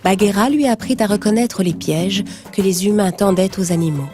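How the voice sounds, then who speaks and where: A woman narrating a scripted children's story in a measured storytelling manner. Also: medium pitch, music in the background.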